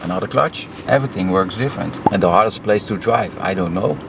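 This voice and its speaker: Low-voiced Dutch man, noisy hum. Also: gravelly. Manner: halting, simple phrasing with many fillers. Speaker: driving instructor. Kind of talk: casual interview.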